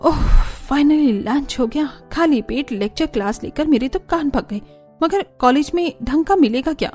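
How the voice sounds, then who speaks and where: A woman voicing a bubbly, fussy young college woman in a cartoon story, expressive and playfully whiny. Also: sits high, normal speed, soft background music. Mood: grumbling.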